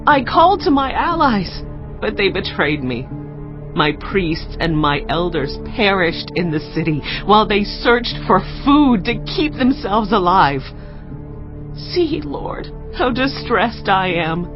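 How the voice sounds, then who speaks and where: A heartbroken lamenting woman in a bible drama, super slow, kinda muffled w music. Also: mid-range voice, very animated. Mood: anguished, distressed. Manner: slow, sorrowful, solemn. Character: grieving desolate woman, a fallen city lamenting in anguish. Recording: muffled, loud music behind.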